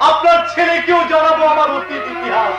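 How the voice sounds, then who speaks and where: A man's voice: extremely high pitch, lo-fi sound. A man playing a bitter, betrayed music tutor in Bengali folk theatre, with a theatrical, plaintive delivery. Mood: pleading. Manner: theatrical, plaintive, drawn-out sung lament. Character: heartbroken wandering male music tutor, betrayed husband, bitter. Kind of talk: Bengali jatra folk theatre acting with song. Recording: echoey stage sound, loud music. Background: loud musical instruments.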